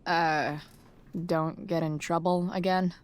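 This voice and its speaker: A woman's voice: lowish voice, little pitch movement, slow, clear, resonant tone. A woman playing a rebellious teenage schoolgirl in a video game, with a blunt, slangy, sarcastic way of talking. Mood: wary, evasive. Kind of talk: naturalistic video game acting.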